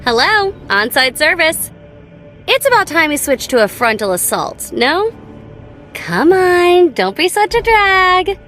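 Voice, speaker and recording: A woman's voice: extremely high pitch, very animated, slow, a bit bright, heavily acted. Breezy young gadget girl from a game, teasing, voice jumps around a lot, music behind. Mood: cocky, playful. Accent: American. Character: playful, nonchalant young female gun-tech tinkerer. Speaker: voice actor for a video game character. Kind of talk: scripted game character voice lines. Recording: studio voice over background game music. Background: background music.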